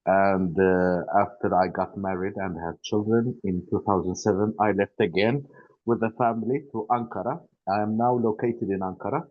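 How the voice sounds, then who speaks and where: A middle-aged man from Iraq with an Iraqi accent, introducing himself haltingly as he searches for English words. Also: lowish voice, fairly even intonation. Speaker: family business representative. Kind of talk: self-introduction at networking meetup. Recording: dull, lo-fi call audio.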